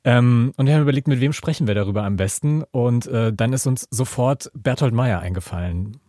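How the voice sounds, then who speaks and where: A man's voice: medium pitch, a little fast, mellow, no room sound, clean studio sound. A man co-hosting a podcast in casual chat, hesitant and joking. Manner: hesitant, many fillers, joking. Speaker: podcast co-host. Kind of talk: casual conversational podcast talk.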